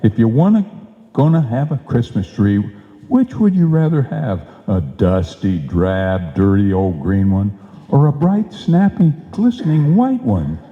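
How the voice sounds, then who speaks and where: An actor playing a blustering, sarcastic husband with emphatic, wisecracking delivery in an old-time radio comedy. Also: male voice, medium pitch, sing-song, measured pace. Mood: eager, persuasive. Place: live stage performance in a hall. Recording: muffled live recording in echoey hall.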